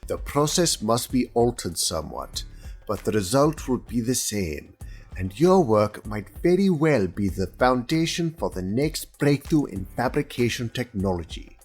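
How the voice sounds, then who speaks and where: An actor playing a polite, exacting, condescending senior inspector in an audio drama, speaking in a formal, measured, officious manner with a husky voice. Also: male voice, mid-range voice, sing-song. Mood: placating, officious. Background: music.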